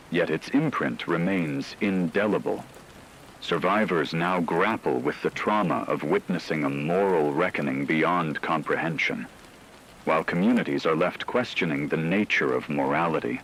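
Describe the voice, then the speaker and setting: A man playing a radio newsman who gravely reports a supernatural horror in formal, ominous scripted narration. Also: deep voice, a bit bright, a little hiss or hum, full character voice, rough recording. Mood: grave, solemn.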